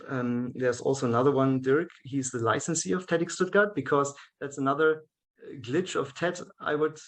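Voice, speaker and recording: German man telling his story with halting pauses. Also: a little high. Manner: hesitant, many fillers and restarts, laughs often. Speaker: guest, event organizer. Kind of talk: casual interview chat.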